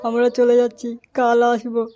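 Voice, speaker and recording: High-pitched foul-mouthed cartoon thug played by a man. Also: completely flat delivery, normal speed, muffled recording, faint music behind. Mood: taunting, boastful. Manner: crude, taunting, shouty in fights. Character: foul-mouthed young male cartoon street troublemaker, excitable and bullying. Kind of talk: amateur comedy cartoon dub. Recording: noisy amateur recording with clicks.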